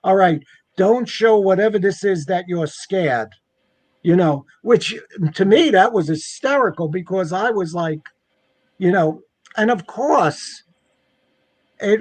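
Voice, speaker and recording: A man's voice: high-pitched, measured pace, darkish tone, no room sound. A man telling a personal anecdote in a casual, conversational way, acting out what people said. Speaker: guest telling a personal ghost story.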